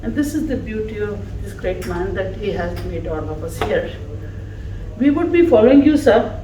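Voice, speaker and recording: Woman with urdu as her first language, slow warm tribute speech, sounds far off in a roomy echoey space with a bit of hiss. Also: mid-range voice, animated. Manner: slow, warm, emphatic, laughing between phrases. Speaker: speaker at a farewell gathering. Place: office gathering room. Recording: echoey room from far off, faint noise.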